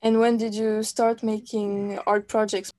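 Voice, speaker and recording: A woman's voice: medium pitch, little pitch movement, measured pace, darkish tone. A woman hosting a podcast, politely prompting her guest with questions. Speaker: podcast host. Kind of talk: podcast interview.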